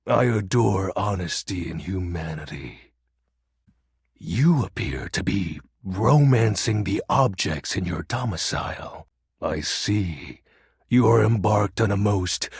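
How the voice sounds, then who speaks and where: A man voicing a video game emperor of darkness, grandiose and pompous, with a gravelly, husky voice and theatrical, archaic delivery. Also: deep voice, no echo, clean studio sound, exaggerated voice. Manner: pompous, melodramatic, archaic wording. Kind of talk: video game character voice acting.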